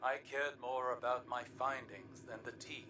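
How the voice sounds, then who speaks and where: An actor playing a reflective, caring male scholar in a game, speaking in a measured, contemplative way. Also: mid-range voice, steady tone, close to an everyday voice. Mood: regretful. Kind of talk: scripted game cutscene dialogue. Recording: distant from mic, noisy ambience.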